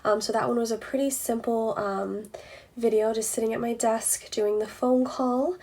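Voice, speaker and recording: A woman's voice: a little high, steady tone, moderate pace, darkish tone. Woman chatting casually in a vlog. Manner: informal, conversational explaining to viewers. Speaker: ASMR video creator and vlogger. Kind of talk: casual behind-the-scenes vlog chat.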